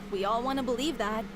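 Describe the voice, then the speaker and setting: An actress gives voice to a soft, wistful stage performer mourning her mentor. Her voice is fairly high. A clear hiss of noise runs under the game audio. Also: lightly acted. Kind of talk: game voice acting.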